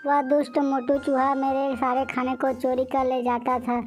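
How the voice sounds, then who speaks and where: An earnest little storybook bird, acted by a woman, pleads and lectures in a very high voice. The delivery stays flat and even, with music underneath, as this hardworking creature makes her moral case. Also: moderate pace, close to an everyday voice. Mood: grateful. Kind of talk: Hindi animated moral story dialogue.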